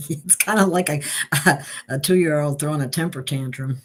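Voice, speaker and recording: A woman hosting a casual conversation, speaking haltingly with frequent pauses and restarts. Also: low voice. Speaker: host. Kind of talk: casual chat.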